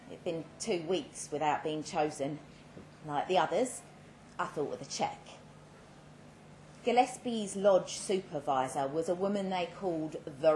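A woman giving a measured, dramatic reading of a first-person short story at a live event, with pointed pauses. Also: on the low side, darkish tone. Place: indoor live literary event. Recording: roomy live venue, clear background noise.

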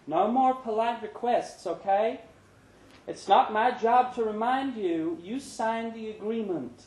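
A man's voice: sits high, unhurried, a little mellow, voice pushed up. A man voicing a firm, cool woman who runs a bar, as part of a live story reading. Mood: firm, curt. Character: Brenda, firm, cool woman bar owner, estranged wife. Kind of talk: dramatic short story reading. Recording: roomy live pub reading.

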